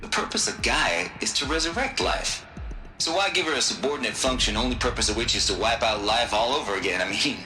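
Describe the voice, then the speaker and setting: Cocky game character, super bright forceful voice, swoops all over, folksy and sardonic, bit roomy. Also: male voice, mid-range voice, lively intonation, fairly quick, soft background music. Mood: wry, animated. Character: brash, irreverent American man running an extinction-failsafe program. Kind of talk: theatrical scripted briefing in a video game.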